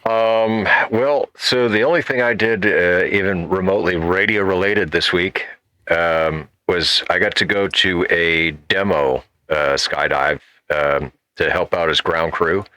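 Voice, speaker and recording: A man co-hosting a casual panel chat, talking in a halting, hesitant way. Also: low-pitched, bright, forceful tone, dry recording. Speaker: podcast co-host.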